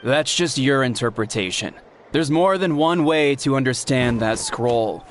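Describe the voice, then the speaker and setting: Actor as sarcastic skeptic tribesman, mocking tone, mid-pitched. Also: lively intonation, a little slow, slight background noise, close to an everyday voice. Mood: scoffing. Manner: scoffing, sarcastic. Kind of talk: video game voice acting.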